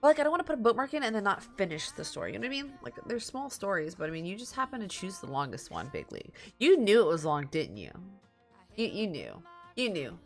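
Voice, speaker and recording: A woman streamer reading a story aloud in a dramatic, halting way, slipping into casual asides. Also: medium pitch, huge pitch swings, faint music behind. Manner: halting, repeats and corrects stumbled words.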